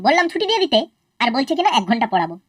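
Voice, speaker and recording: Cartoon role: woman plays a lazy, cheeky schoolboy; high-pitched, quick-fire, foul-mouthed. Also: big pitch swings, background noise. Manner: fast, sassy and crude. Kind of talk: cartoon voice acting.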